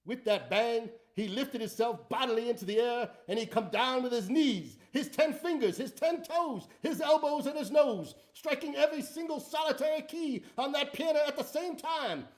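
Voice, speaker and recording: A man playing an excitable rustic tale-spinner with a rural Southern American accent, in a theatrical, folksy comic recitation. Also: extremely high pitch, normal speed, hardly any pauses, voice pushed up. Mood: frenzied exuberance. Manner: folksy, exuberant, building declamation.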